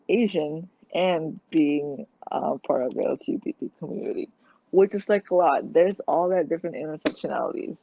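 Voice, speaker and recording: A woman's voice: deep voice, no echo. A young woman from Malaysia in a casual interview, talking earnestly and hesitantly with many fillers and restarts. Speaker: guest. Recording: tinny phone-like line, dry.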